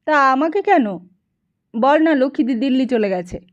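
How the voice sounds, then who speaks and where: A woman reader plays a young lady of the house in an audiobook novel. She sounds guarded and uneasy, asking wary questions in a raised pitch. The recording is a touch muffled. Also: expressive, normal speed. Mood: puzzled, wary. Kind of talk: audiobook character dialogue.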